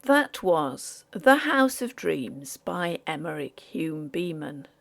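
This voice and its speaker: A woman reading scripted audiobook narration in a measured, deliberate way, as for a Victorian ghost story. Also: fairly low pitch, gravelly, darkish tone.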